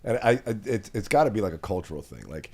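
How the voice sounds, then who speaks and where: A man's voice: lowish voice, big pitch swings, quick pace, a little mellow, slightly rough. A young man hosting a podcast, joking and teasing in casual chat. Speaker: podcast host.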